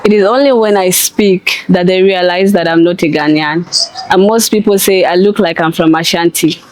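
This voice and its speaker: A woman's voice: fairly low pitch, moderate pace, darkish tone, a little hiss or hum, poor audio. A young woman with a Zambian accent giving casual interview answers as an international student. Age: young adult.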